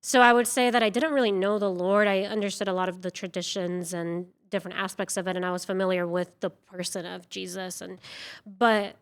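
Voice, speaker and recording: A woman from Venezuela whose first language is Spanish, telling her story as an interview guest. Her voice is smooth and clean, and her delivery is chatty, loose and full of fillers, with self-deprecating asides. She sits at a middle pitch for a woman. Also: fairly even intonation, a little fast. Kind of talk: casual interview talk.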